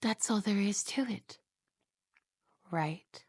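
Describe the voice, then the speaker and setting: A woman voicing a possessive, jealous young wife in an ASMR roleplay, talking softly and slowly in whispers, sweet on the surface and menacing underneath. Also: mid-range voice, breathy edge, dry recording, studio quality. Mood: quietly menacing. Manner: soft, slow, whispery, with giggles.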